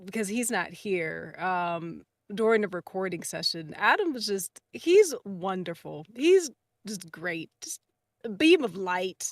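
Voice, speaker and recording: Casting: woman, mid-pitched, slow and casual delivery, recorded dry. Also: expressive, dry recording. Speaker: podcast cast member. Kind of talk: casual chat.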